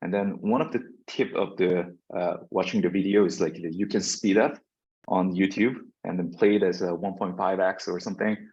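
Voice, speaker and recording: Low-voiced Korean-native man lecturing. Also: steady tone, darkish tone. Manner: halting, many fillers and restarts. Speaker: university lecturer. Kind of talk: classroom lecture. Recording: dull lo-fi lecture recording.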